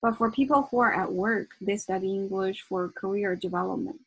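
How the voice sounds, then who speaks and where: Woman, lecturer, breathy voice, dry recording. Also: mid-range voice, a little fast, dry recording, rough recording. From China. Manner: frequent ums and self-corrections. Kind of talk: academic presentation.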